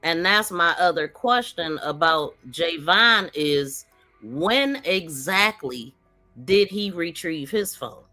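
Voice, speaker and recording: A woman's voice: fairly low pitch, lively intonation, slow pace, bright, forceful tone, faint music behind. A woman hosting a casual true-crime live commentary, talking in an opinionated, questioning way. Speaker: podcast host.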